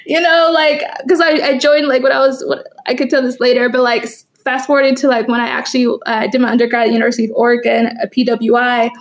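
A young woman with a high voice that often drops into creak. Her first languages are Swahili and Kikuyu, and she speaks in an informal, chatty way, as a guest in a personal conversation. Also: level tone, quick pace, few pauses. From United States, raised in Kansas after early childhood in East Africa. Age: young adult. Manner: chatty, informal, laughs often while talking. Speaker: interview guest, photographer.

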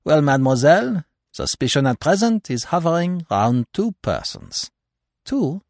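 A man voicing an older, self-assured Belgian male detective with a French-speaking Belgian accent and a gently ironic, emphatic, avuncular manner, in an audiobook. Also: a little high, very animated, normal speed, no echo, clean studio sound, voice pushed up. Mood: sly, charming. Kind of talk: audiobook character voice.

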